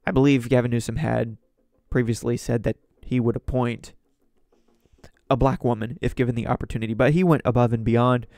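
A young man with a sore, raspy throat hosting a solo news commentary podcast from a small home studio, chatty with wry asides. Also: mid-range voice, fairly even intonation, a little fast, darkish tone, no room sound. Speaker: podcast host. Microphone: studio mic on boom, headphones.

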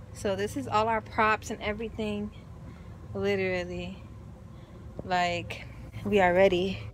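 A woman's voice: mid-range voice, fairly bright tone, slight background noise. A woman who owns a boutique, chatting casually to a phone camera held at arm's length outdoors. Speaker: boutique owner hosting a behind-the-scenes vlog. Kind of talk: casual vlog chat to camera. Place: outdoors on a lawn.

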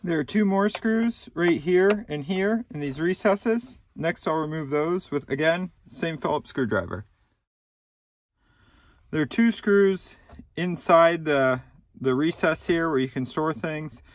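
A man in his thirties calmly walking through a car repair step by step, with a few ums, recorded inside a car. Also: fairly high pitch, measured pace, no room sound. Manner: plain, methodical instructions with frequent ums. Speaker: DIY repair channel host. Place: inside a car, small enclosed cabin.

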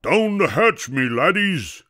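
A man voicing a loud, bossy storybook pirate captain in a bellowing, commanding sea-dog manner. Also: mid-range voice, big pitch swings, unhurried, no echo, studio quality, pitched above the performer's own voice. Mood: roaring.

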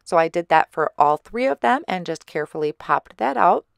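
A woman giving a chatty voice-over for a card-making tutorial, her voice slightly hoarse. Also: on the low side, moderate pace, no room sound. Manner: relaxed, chatty explaining with small fillers. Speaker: card-making channel host. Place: recorded separately as voice-over.

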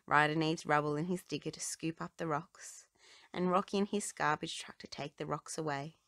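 A woman reading a children's storybook aloud with expressive, dramatic phrasing. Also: low voice, moderate pace, no room sound, clean studio sound. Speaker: children's book reader.

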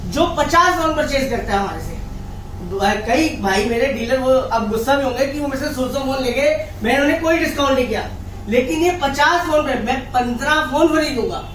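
Very high-pitched salesman in an echoey room. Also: animated, brisk, fairly bright tone. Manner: emphatic, repetitive salesman. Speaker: phone wholesaler. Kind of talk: sales pitch in casual chat. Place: small office stockroom, indoors. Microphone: camera a few steps away. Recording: echoey room, distant mic, faint noise.

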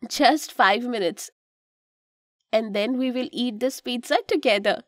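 A woman's voice: on the high side, a little slow, dry recording, studio quality, natural voice. A woman voicing a kind, instructive mother in a children's cartoon, with an Indian accent and a patient, explanatory manner. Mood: kindly. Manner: patient, explanatory, gently cautioning.

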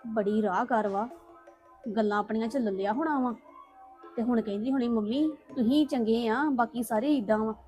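A woman's voice: high-pitched, fairly quick, soft background music, natural voice. An actress playing a defiant young woman who argues back at her mother and aunt in a family drama. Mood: muttering. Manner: defiant, argumentative.

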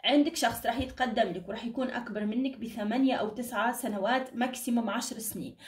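A woman's voice: on the high side, very fast. A woman gives an informal card reading as a channel host, reassuring her listeners with endearments and blessings in a slightly tired voice. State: tired, strained voice. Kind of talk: informal fortune-telling reading to camera. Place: indoor room at a table. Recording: echoey room, distant microphone.

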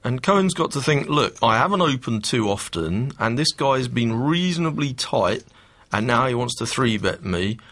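A man's voice: mid-range voice, expressive, normal speed, a bit bright. A man giving expert poker commentary, analytical and full of restarts. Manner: analytical, restarts and self-corrections.

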